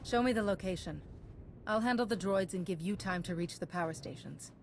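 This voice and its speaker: A mid-pitched, even-tempered heroine played by a woman in a video game. She is direct and resolute, speaking over a clear musical score. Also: fairly quick, music in the background, close to an everyday voice. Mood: calm resolve. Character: calm, resolute female Jedi hero.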